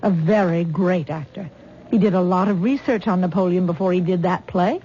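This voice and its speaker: A woman's voice: fairly low pitch, moderate pace, warm tone, close to an everyday voice. An actress playing a doting, whimsical older mother in a vintage radio drama. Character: doting, dreamy older mother, easily charmed. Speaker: mother of the heroine. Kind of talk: 1970s radio drama acting. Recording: dull old radio broadcast with faint hiss.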